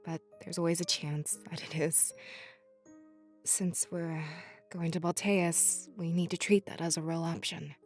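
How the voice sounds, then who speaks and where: Low soft woman playing furry companion in a visual novel, sincere, quiet music under it. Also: fairly even intonation, a little slow, lightly acted. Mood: wistful. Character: tender, earnest furry female companion.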